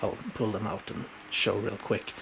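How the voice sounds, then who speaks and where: A man with a Swedish accent, whose first language is Swedish, casually showing his bookshelves at home and talking in halting bursts with many fillers. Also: on the low side, little pitch movement, creaky, background noise. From Sweden. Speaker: book collector showing his shelves. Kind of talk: casual home video walkthrough. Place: home room.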